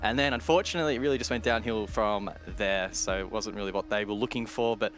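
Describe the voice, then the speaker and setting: A man's voice: fairly low pitch, quick pace, a bit bright, soft background music. A man casting an esports match, rattling off stats and player history in detail. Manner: detailed, stat-heavy, rattles off facts. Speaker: esports caster. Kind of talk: play-by-play commentary.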